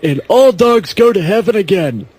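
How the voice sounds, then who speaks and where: A man voicing a brash, sleazy movie director making a showy sales pitch in a comedy podcast bit. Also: expressive, normal speed, a little mellow, husky, no echo, poor audio. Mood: smug pitching.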